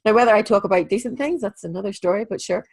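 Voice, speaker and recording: A woman's voice: mid-range voice, very fast, breathy edge. A woman hosting an interview podcast, sounding, stuffy with a cold, chatty and full of advice. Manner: chatty, friendly advice-giving. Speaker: podcast host. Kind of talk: casual interview chat.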